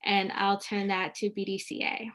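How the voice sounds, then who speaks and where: A woman moderating a virtual school fair panel, politely and briskly handing questions to each school over a video call. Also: mid-range voice, flat delivery, a bit bright.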